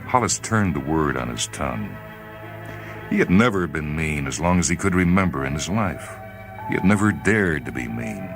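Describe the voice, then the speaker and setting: A man reading a literary short story as dramatic scripted narration, with grave, deliberate phrasing. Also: extremely low pitch, very animated, creaky, over music. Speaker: short story reader on a radio series.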